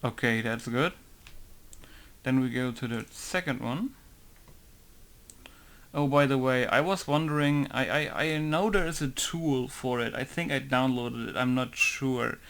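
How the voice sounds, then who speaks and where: A man with a mid-range voice talks through a game modding tutorial in a loose, casual way. He thinks out loud as he goes and keeps leaning on the same filler words. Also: medium pitch, a little slow, gravelly. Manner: rambling, thinking aloud, leans on "basically" and "right?". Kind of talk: casual screen-recorded tutorial.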